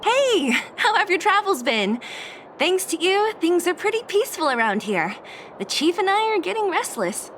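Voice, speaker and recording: A woman's voice: extremely high pitch, big pitch swings, moderate pace, fairly bright tone, slight background noise, heavily acted. An actress playing a tough, blunt young woman in a video game, casual and dryly reluctant. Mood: wry, casual. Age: young adult. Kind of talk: video game dialogue acting.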